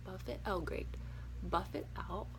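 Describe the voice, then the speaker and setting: A young woman with a raspy, hoarse voice from a cold, chatting casually and a little nervously through a makeup tutorial. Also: medium pitch, fairly even intonation, measured pace, background noise. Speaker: beauty vlogger.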